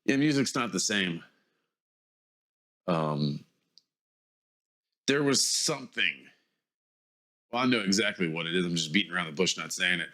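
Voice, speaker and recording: A man's voice: low voice, animated, unhurried, long pauses, pushed and bright. A man in his forties, mildly congested, giving a casual, rambling vlog monologue in which he hesitates, corrects himself and circles around his point. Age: 40s. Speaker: vlogger.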